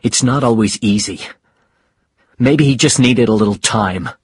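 A man voicing a steady, protective boxer and father, firm and plain-spoken, in an audiobook story. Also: mid-range voice, unhurried, breathy edge, no echo, studio quality. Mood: defensive.

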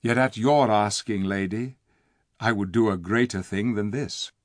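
A man voicing a grave, noble king who speaks in formal, measured, stern tones. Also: lowish voice, dry recording, clean studio sound, close to an everyday voice. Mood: courtly.

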